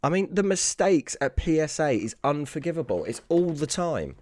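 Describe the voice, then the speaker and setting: Raspy, hoarse British man with swinging pitch. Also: big pitch swings, normal speed, darkish tone. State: hoarse, lost voice from screaming the night before. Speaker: sports card video host. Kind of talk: casual unboxing commentary.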